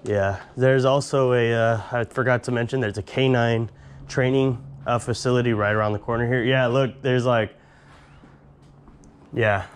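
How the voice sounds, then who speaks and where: A man's voice: medium pitch, steady tone, normal speed, a little hiss or hum. A young man hosting an exploration vlog, chatting casually to viewers with lots of fillers while walking through an abandoned base. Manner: easygoing, filler-heavy, addresses viewers directly. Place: abandoned military base, outdoors and inside empty buildings.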